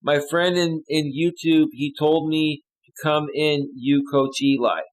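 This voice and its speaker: A man's voice: fairly high pitch, fairly even intonation, measured pace, low-quality recording. A man hosting a casual live stream as a life coach, joking loosely with his chat viewers. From United States.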